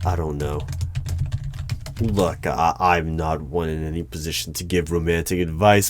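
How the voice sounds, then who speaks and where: Male performer as cautious bureau hiring manager, ASMR roleplay. Deep, slow, hesitant, dryly polite. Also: a little hiss or hum. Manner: hesitant, deadpan, measured. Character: wary, polite hiring manager of a secret bureau interviewing a dragon. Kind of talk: one-sided ASMR interview roleplay. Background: computer keyboard typing and clicks.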